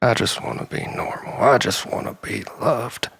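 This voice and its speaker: A man's voice: mid-range voice, normal speed, vocal fry, fairly bright tone, husky, in a large hall, voice pushed up. A man playing a frayed, drunken, self-pitying loner in a comic dramatic monologue, plaintive and overwrought.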